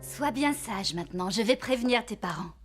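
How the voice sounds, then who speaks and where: A woman voicing a brisk, officious school nurse in a French cartoon, matter-of-fact and emphatic. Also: a little high, animated, close to an everyday voice. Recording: music behind the voice.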